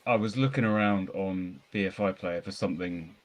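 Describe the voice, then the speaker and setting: A man's voice: sits low, normal speed. A man co-hosting a podcast, casually talking through films in a rambling, enthusiastic way. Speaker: podcast co-host reviewing films. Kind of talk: casual film discussion.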